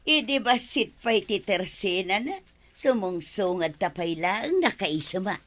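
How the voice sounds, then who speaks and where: A woman playing a cheeky, debt-dodging village gossip with exaggerated, drawn-out delivery in a comic radio drama. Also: medium pitch, expressive, a bit bright. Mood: nosy, sly.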